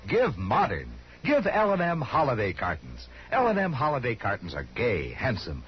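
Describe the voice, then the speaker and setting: A man's voice: medium pitch, huge pitch swings, moderate pace, a little hiss or hum. An American male announcer reading a 1950s radio commercial with polished, upbeat salesmanship. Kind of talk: 1950s radio advertising read. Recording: muffled old radio advert. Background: loud jingle music.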